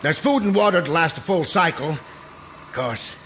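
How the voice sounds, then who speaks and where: A man's voice: on the high side, animated, moderate pace, fairly bright tone, slight background noise. A man playing a friendly old rogue trader in a space opera audio drama, folksy, rambling and given to nervous chuckles. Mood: jovial, flustered. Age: older.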